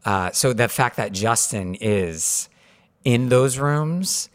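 A man's voice: mid-range voice, expressive, takes it slowly. A man hosting a podcast, chatting enthusiastically and playfully while wrapping up an episode. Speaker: podcast host. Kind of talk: casual podcast wrap-up chat.

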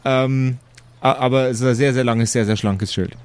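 A man improvising fantasy narration as game master, halting and repeating words for emphasis. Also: mid-range voice, fairly even intonation, darkish tone, clear, resonant tone, dry recording. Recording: music under the voice.

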